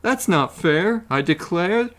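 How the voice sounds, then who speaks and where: A man's voice: high voice, animated, slow, fairly bright tone, voice pushed up, heavily acted. A man voicing an aggrieved, complaining bear in a children's storybook. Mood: indignant, pleading. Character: aggrieved bear complaining about lost hair.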